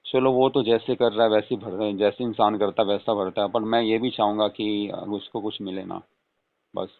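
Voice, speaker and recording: Guy acting as flirty father in law, low gravelly voice, coaxing murmur, tinny phone call. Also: steady tone, moderate pace. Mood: persuasive. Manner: coaxing, murmuring, with questioning hums. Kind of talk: staged intimate phone-call role-play in casual talk.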